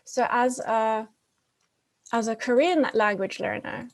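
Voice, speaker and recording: A woman presenting a talk at a teachers' conference, explaining her points with many pauses and restarts. Her first language is English. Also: a little high, creaky. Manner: frequent fillers and restarts, explanatory.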